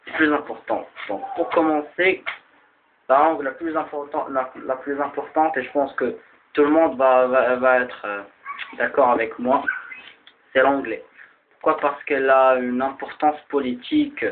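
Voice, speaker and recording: A man's voice: mid-range voice, fairly even intonation, normal speed. A man whose first language is Arabic gives a casual explanatory talk for a video. He speaks hesitantly, with many restarts and fillers. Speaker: video presenter. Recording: tinny lo-fi phone-like sound with faint noise.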